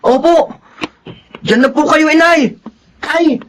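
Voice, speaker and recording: High-pitched, polite young child. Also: male voice, takes it slowly, a bit bright, slight background noise, full character voice. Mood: eager, grateful. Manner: polite, eager. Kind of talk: Filipino radio drama acting.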